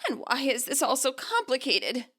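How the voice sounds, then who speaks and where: A woman's voice: sits high, very animated, moderate pace, a bit bright, full character voice. A woman voicing a flustered, awkward teenage girl gang leader, stammering and hesitant, in a dramatised fanfiction reading.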